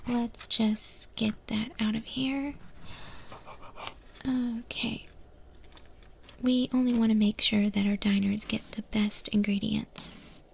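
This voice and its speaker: A woman voicing a friendly, encouraging eldritch monster chef in a breathy, gentle ASMR roleplay whisper. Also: on the high side, little pitch movement, slow pace, pauses often, slight background noise. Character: friendly female eldritch monster chef training a new hire. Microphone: close ASMR microphone.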